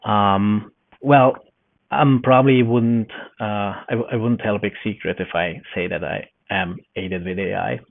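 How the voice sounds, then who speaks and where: Middle-aged Hungarian man, low and gravelly, pauses to find words; dry, tinny call audio. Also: fairly even intonation, no echo. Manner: thoughtful, searching for words with many fillers. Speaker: podcast guest, newsletter founder. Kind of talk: casual interview talk.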